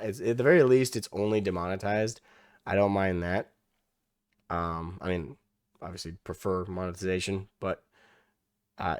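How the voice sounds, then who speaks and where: A young man hosting a reaction video, losing his voice and hoarse, rambling casually with many restarts and the odd swear. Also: low voice, no room sound, studio quality.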